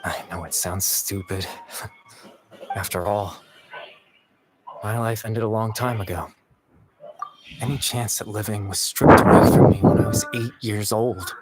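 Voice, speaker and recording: A melancholy young man who lingers only as a consciousness, played by a male actor in a video game. His low voice is wistful and courteous, confessing with hesitant regret. Clear music plays behind him. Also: fairly even intonation, unhurried, pauses often, natural voice, rough recording. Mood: quiet, sorrowful. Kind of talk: video game story dialogue acting.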